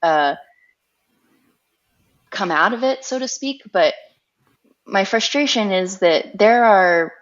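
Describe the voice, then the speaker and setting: A woman's voice: mid-range voice, lively intonation, takes it slowly, pauses often. A woman speaking as a podcast guest, frank and emphatic in how she makes her points. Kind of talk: casual interview. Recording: dull lo-fi sound.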